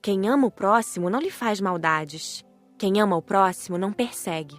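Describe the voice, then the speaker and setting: A woman's voice: medium pitch, normal speed, soft background music. A woman reading scripted first-person audiobook narration in a composed storytelling manner.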